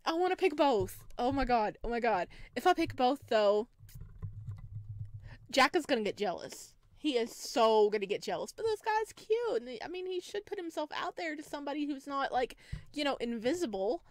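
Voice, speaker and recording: A woman streaming a visual novel, reading aloud and reacting in a playful, dramatic, expressive way. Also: high-pitched, moderate pace, no room sound. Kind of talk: let's play, reading game narration aloud with reactions. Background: game music.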